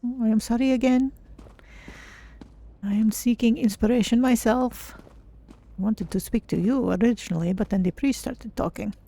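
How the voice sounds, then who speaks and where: A mellow, soft-edged woman playing a feline adventurer for a game stream. She is polite and curious, choosing measured, formal words as she talks through her exploration. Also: on the high side, creaky. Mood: thoughtful. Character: polite, curious female Khajiit cat-folk adventurer. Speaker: streamer voicing own game character. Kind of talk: in-character game playthrough commentary.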